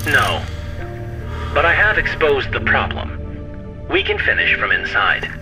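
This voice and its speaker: Robot sidekick, sci-fi audio drama: precise, cautious, clipped and formal, animated pitch movement, music underneath. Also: male voice, a little slow. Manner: formal, clipped, matter-of-fact phrasing.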